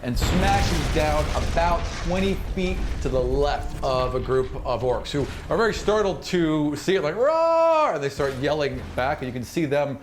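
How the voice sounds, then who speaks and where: A man improvising narration as game master of a tabletop fantasy game, casual and animated, acting out sound effects and reactions. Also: fairly high pitch, a little slow, hardly any pauses. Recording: clearly noisy recording.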